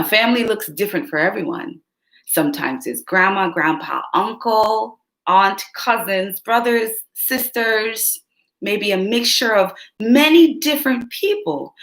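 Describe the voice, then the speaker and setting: Expressive woman reading a kids' story. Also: medium pitch. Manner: expressive, teacherly, asks kids questions. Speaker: children's story reader. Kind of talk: read-aloud storytelling for young kids. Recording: roomy echo.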